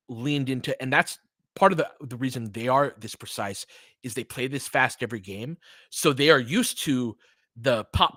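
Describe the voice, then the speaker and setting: A man's voice: medium pitch, pushed and bright, no room sound. A man doing casual live basketball commentary, switching between clipped play calls and conversational analysis of the game.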